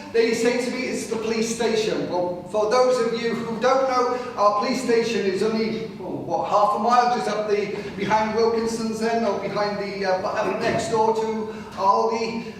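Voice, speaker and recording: Guy with a loud voice giving a history talk in a big hall, chatty, keeps saying "right?", lots of echo, sounds far from the mic. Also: high-pitched, a little hiss or hum. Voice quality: naturally loud voice. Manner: chatty, addresses the audience directly with frequent "right?". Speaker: local history speaker. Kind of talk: public history talk to a live audience. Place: large hall with pillars, live audience. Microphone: no microphone, far room pickup.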